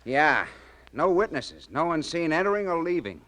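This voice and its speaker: A laconic cop sidekick in an old radio crime drama. His voice sits fairly high for a man and jumps up and down widely as he delivers slangy, matter-of-fact reports. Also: very animated, normal speed, fairly bright tone, rough recording. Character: matter-of-fact male police sergeant, detective's folksy sidekick. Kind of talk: mid-century radio crime drama acting.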